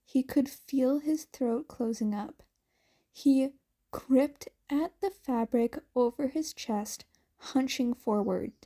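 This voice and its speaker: Woman reading a fanfic out loud, high voice, takes it slow. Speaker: fan fiction reader. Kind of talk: scripted story narration.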